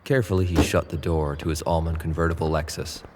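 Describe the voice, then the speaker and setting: A man narrating an audio drama in a low, steady voice. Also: quick pace, noisy background. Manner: low steady narration, pushier and louder in Nate's lines. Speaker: narrator and actor playing Nate.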